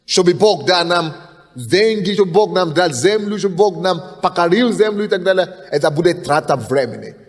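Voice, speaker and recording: High-voiced male preacher in echoing hall. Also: lively intonation, normal speed. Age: 30s. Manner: insistent, emphatic preaching with frequent restarts. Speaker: pastor. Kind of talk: sermon. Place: church hall, at the pulpit. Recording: long hall reverb.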